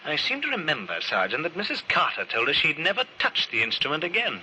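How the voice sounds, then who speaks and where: An actor in an old radio drama playing a shrewd, courteous police inspector who questions in a measured, drily sceptical way. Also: male voice, a little high, wildly swooping pitch, exaggerated voice, poor audio. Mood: dryly sceptical. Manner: measured, probing, dry understatement. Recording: hissy, sharp old radio recording.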